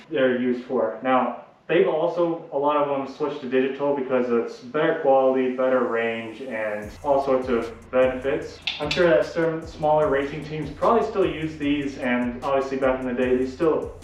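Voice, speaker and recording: Casual man in a very echoey space. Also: mid-range voice. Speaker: vlogger presenting a DIY tutorial. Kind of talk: casual unscripted how-to explanation. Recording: very echoey, distant mic, faint music.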